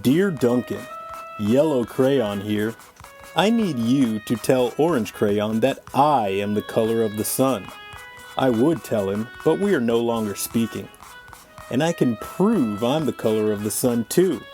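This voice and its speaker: Woman voicing a deep, boastful yellow crayon. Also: expressive, a little slow, soft background music. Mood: smug, insistent. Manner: insistent, smug. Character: boastful, self-important yellow crayon in a feud. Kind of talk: storybook read-aloud.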